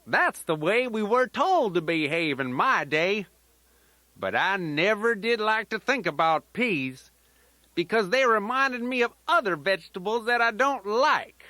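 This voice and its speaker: A man's voice: high voice, expressive, measured pace, bright, forceful tone, no echo, full character voice. A man voicing a folksy, playful old grandfather teddy bear who rambles in a children's storytelling tape. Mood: playful, chatty. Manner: folksy, rambling, sing-song rhyming asides.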